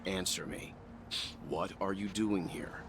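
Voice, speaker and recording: An actor playing a stern, wary, mature gentleman in a video game, speaking gravely and formally over music. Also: deep voice, slow, noisy background. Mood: stern, suspicious.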